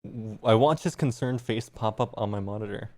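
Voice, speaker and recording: A man's voice: fairly low pitch, normal speed, mellow. A man streaming, reading fan fiction aloud with some embarrassed amusement.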